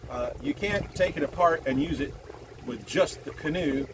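Lively older man on a motorboat. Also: fairly high pitch, normal speed. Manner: lively, rambling, self-correcting. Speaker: boat builder demonstrating motors. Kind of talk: casual product demo. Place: small open boat on a lake, outdoors. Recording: outdoor boat noise. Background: motor and water noise.

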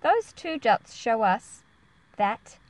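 Higher-voiced woman reading aloud to children. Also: very animated, takes it slowly, a little hiss or hum. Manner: careful, explanatory, enunciated for young listeners. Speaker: children's read-aloud host and teacher. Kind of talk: picture book read-aloud with explanation for children.

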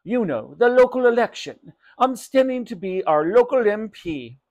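Pushy storybook mum, played by a man, pompous and insistent, raised pitch with lively swings. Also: normal speed, dull audio. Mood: exasperated. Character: pushy, self-important mother standing for election.

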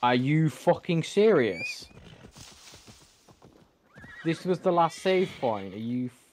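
A man's voice: a little high, very animated, slow, a little mellow, slight background noise. A young man who speaks English as a second language, giving casual let's-play commentary, rambling, correcting himself and swearing at the game. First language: not English. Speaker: gameplay streamer.

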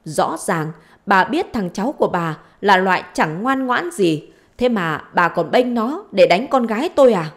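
Audio story role: irate middle-aged village husband, played by a woman, threatening, scolding, lively intonation. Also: medium pitch, moderate pace, natural voice. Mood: indignant. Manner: gruff-worded scolding and threats.

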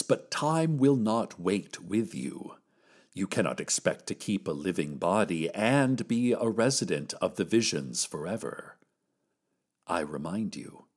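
A man voices an ancient-sounding keeper of a mystical stairway in an audio drama. The voice is very low and unhurried, with formal, ominous phrasing that rises and falls widely. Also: heavily acted. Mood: hushed wonder. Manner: slow, formal, ominous warnings. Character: solemn, cryptic keeper of a magical stairway. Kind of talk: scripted audio-fiction monologue.

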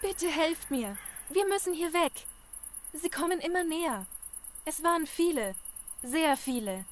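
Woman playing a high-pitched, pleading fugitive. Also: animated, measured pace, a little hiss or hum. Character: pleading, frightened female fugitive in zombie apocalypse. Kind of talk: German audio drama acting.

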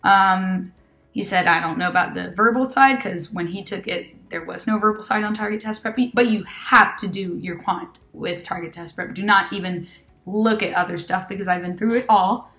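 A young woman in her twenties talks casually over a laptop webcam, with an American-leaning English accent over a native Spanish background. Her talk is chatty and loose, full of "um" and restarts, pitched at an ordinary middle height for a woman. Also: moderate pace, a bit bright. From Argentina. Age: 20s. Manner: chatty, many fillers and self-corrections, laughs often. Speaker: test-prep student giving a testimonial. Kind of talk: casual testimonial. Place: small indoor room with red wall.

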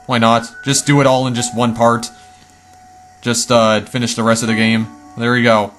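Chatty, slightly hoarse male gamer. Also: mid-range voice, little pitch movement, normal speed, fairly bright tone, soft background music. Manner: chatty, easily startled, lots of exclamations. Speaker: let's play gamer. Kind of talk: casual game commentary.

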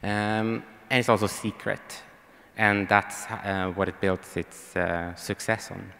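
Swedish guy talking on a panel, gravelly low voice, lots of ums, big echoey hall. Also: flat delivery, slow pace, pushed and bright. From Sweden. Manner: hesitant, many ums and restarts. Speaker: panel speaker, researcher. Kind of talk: conference panel talk. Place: large hall, on stage. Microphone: handheld mic. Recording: long hall reverb.

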